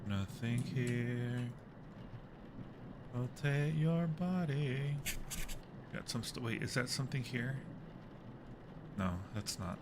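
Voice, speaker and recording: A man's voice: mid-range voice, takes it slowly, pauses often, noisy background. A man hosting a casual live game stream, chatting playfully with viewers and muttering to himself in a jumpy way as he plays.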